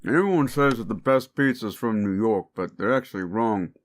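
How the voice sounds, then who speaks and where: A man playing a gruff, world-weary demon agent who hunts monsters, with a terse, laid-back, wry drawl, in an action-horror audio drama. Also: lowish voice, a little fast, natural voice. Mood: casual, wry.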